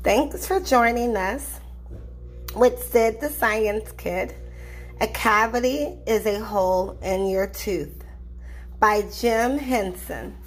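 A woman reading a children's storybook aloud in an expressive, deliberate narrating style. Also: fairly high pitch, slight background noise.

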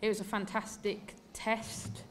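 A woman's voice: mid-range voice, level tone, unhurried, slight background noise. An English woman in her thirties, a football coach answering press questions candidly and emphatically, with many fillers, at a desk mic in a press room. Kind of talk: post-match press conference answers. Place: indoor press room before a sponsor backdrop.

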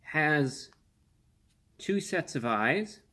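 Measured young man reviewing food. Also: fairly high pitch, animated, sharp tone. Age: 20s. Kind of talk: casual product review to camera. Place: small indoor room.

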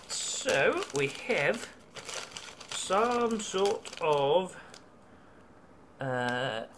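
A man's voice: fairly high pitch, a bit bright, slight background noise. A young British man in a casual food-tasting vlog, chatty and wry, with many ums and restarts, filmed in a small hotel room. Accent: British English. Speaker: travel vlogger.

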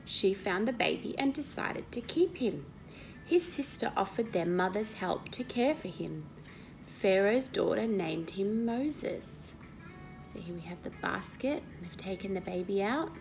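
A woman in her thirties reads a Bible story to children, explaining it and asking questions in an expressive, teacherly way. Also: mid-range voice, measured pace, creaky, soft background music, low-quality recording. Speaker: Sunday school story reader. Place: indoor living room at home.

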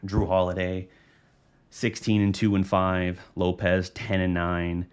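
A man's voice: low voice, monotone, slow pace, smooth. An American man in his thirties giving casual, unscripted postgame basketball analysis in a conversational, rambling way into a studio microphone. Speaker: sports show host and analyst. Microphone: studio microphone on a boom arm.

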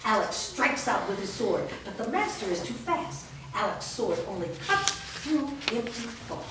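A woman reads the stage directions in a steady, descriptive way. She sounds far from the mic in a very echoey room with faint background noise. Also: a little high, unhurried. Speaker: narrator reading stage directions. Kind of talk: staged script reading. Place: large studio room. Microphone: far camera mic.

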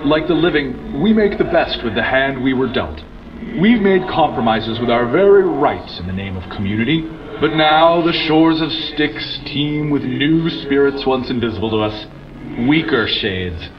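A man's voice: medium pitch, expressive, moderate pace, music in the background, close to an everyday voice. An actor playing a proud, aggrieved male ghost in an audio drama, speaking in formal rhetorical phrases. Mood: indignant. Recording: dull lo-fi radio sound.

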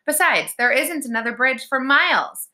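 A woman's voice: high voice, animated, normal speed, sharp tone, pitched above the performer's own voice, exaggerated voice. A woman voicing a confident, eager young twin sister in a children's storybook, chirpy and insistent. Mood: reassuring.

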